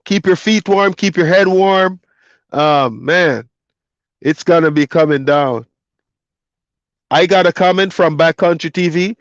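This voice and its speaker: A man's voice: a little high, a little slow, long pauses, no room sound, muffled recording. A man hosting a live stream as a trucker, talking casually and reading chat comments aloud. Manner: laid-back, loose, reads chat comments aloud.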